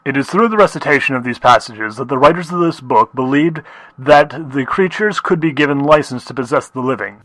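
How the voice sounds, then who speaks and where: A muffled old-record voice of an older pony stallion , acted by a man. He is scholarly and measured, with a slight upper-class accent. The sound is dry, with a middling male pitch, as he reads grave research notes in an audio drama. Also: a bit bright, no room sound. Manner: formal, measured, pedantic. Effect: muffled old record playback.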